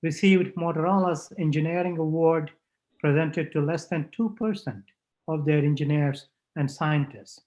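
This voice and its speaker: A man giving a formal introduction at a graduation ceremony, halting with many filler sounds. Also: fairly high pitch, darkish tone, low-quality recording. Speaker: dean introducing a guest speaker.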